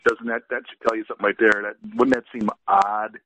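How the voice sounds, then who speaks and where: A man's voice: lowish voice, little pitch movement, fast talker, sharp tone, low-quality recording. A man in an informal political discussion on a group call, emphatic and often repeating his phrases and agreements. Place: conference call.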